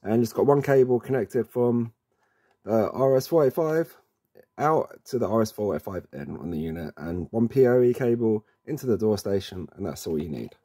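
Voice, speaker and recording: A man's voice: fairly low pitch, lively intonation, moderate pace, warm tone, husky. A man presenting an informal instructional setup video, talking the viewer through it step by step and addressing them as guys. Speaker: presenter of product setup tutorials.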